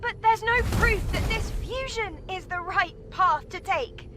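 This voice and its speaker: An actress playing an excitable young female companion, protesting emphatically in a video game cutscene. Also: extremely high pitch, a little slow, a little hiss or hum, full character voice. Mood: doubtful, protesting.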